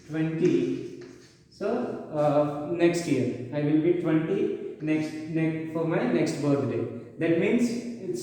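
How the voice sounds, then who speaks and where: A man's voice: on the high side, dark tone. A male teacher with an Indian English accent, explaining grammar step by step and repeating himself often, with many fillers. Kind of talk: classroom grammar lesson. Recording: echoey hall, mic far off.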